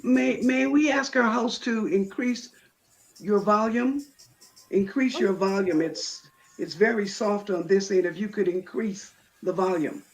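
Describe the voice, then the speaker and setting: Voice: mid-range voice, unhurried, low-quality recording. A panelist on a video call, asking the host politely to raise the volume.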